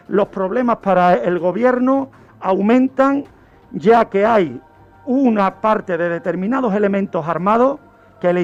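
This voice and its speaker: A man's voice: high-pitched, gravelly, darkish tone. A man tells true-crime history on the radio, emphatic and explanatory, asking his own questions as he goes. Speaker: criminologist, regular true-crime narrator on a radio show. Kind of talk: storytelling radio commentary. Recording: dull lo-fi radio audio with music.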